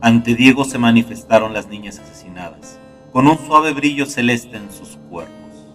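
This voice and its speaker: A man reading a scripted horror story as narrator, in a dark, gloomy, dramatic manner. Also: mid-range voice, normal speed, far from the mic. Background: soft guitar music.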